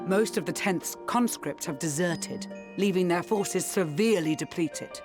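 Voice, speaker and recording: An actor voicing a composed, earnest young envoy with measured, articulate, formal speech in a video game. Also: mid-range voice, over music, natural voice. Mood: grave, explaining. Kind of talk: dramatic video game voice acting.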